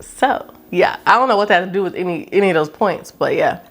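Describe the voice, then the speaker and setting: A woman in her late twenties chatting casually to camera as a YouTuber, animated and talkative, often stopping to ask "okay?". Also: lowish voice, fairly quick. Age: 20s.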